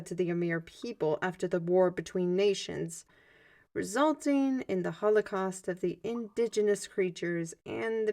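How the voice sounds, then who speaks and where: A woman playing a young, learned squire in an audio roleplay, explaining things in an animated, chatty manner. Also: on the low side.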